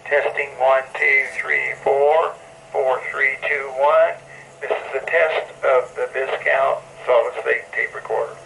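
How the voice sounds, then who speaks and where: A man's voice: mid-range voice, steady tone, takes it slowly, creaky, sharp tone, a little hiss or hum, low-quality recording. A man doing a microphone test, heard played back from a vintage reel-to-reel tape recorder. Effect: played back from an old tape recorder.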